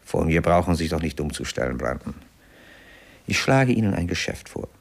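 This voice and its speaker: An actor playing a smooth, calculating male gangland boss in a German radio crime drama, with a suave, condescending, measured manner. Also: deep voice, big pitch swings, heavily acted. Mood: smooth, persuasive.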